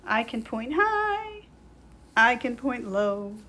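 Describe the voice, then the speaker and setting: A woman's voice: sits high, big pitch swings, moderate pace, fairly bright tone, voice pushed up, heavily acted. A woman voicing a cheerful little schoolboy from a picture book in a bouncy, singsong manner. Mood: playful singing. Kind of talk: children's picture-book character voice.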